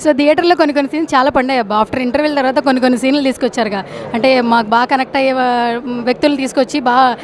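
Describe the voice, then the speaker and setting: A woman with a Telugu accent interviewing guests in a lively, chatty way at a film premiere. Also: sits high, very fast. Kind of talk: lively red-carpet interview chat. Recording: light crowd chatter behind.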